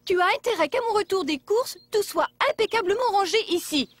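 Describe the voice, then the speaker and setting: A woman's voice: very high voice, animated, a little fast. A woman voicing a stern, exasperated mother in a French children's cartoon, theatrical and scolding.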